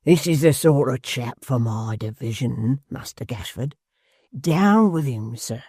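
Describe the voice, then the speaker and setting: A man's voice: mid-range voice, lively intonation, unhurried, mellow, husky, dry recording, clean studio sound. A man reading a storybook aloud, voicing a wheezy, sly old male hangman. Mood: gleeful.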